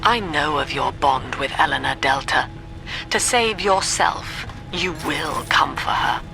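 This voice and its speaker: An actress voicing a calm, cold middle-aged woman psychiatrist who leads a cult in a video game, speaking in a measured, soft, controlled way. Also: sits low, vocal fry, exaggerated voice. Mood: coldly gentle. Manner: measured, soft-spoken, controlled and coldly gentle. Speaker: game character. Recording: reverberant with music behind.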